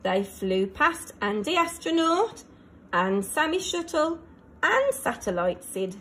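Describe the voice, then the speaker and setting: High-voiced woman reading a children's story, very animated. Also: takes it slowly, slight background noise, rough recording. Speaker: primary school early years teacher reading aloud.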